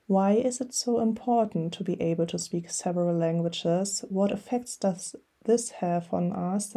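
A woman's voice: mid-range voice, dark tone. A middle-aged woman from Germany with a German accent, hosting her podcast and reading an earnest, encouraging script. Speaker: podcast host.

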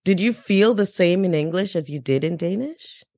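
A woman's voice: fairly low pitch, animated, normal speed, a little mellow, no echo, studio quality. A woman hosting a podcast, coaching and interviewing in a conversational style. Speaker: podcast host, business English coach.

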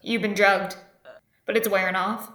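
A woman's voice: medium pitch, fairly even intonation, moderate pace, lightly acted. A woman playing a caged captive in an audio drama. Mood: urgent. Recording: echoey, loud crowd noise behind.

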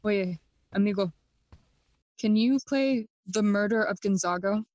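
A woman playing a bitter, grieving young prince in a bilingual classical audio play, speaking theatrical, deliberate verse. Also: medium pitch, darkish tone, no room sound, lightly acted, poor audio. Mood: wry, courteous. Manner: theatrical, deliberate, switching between English and Spanish.

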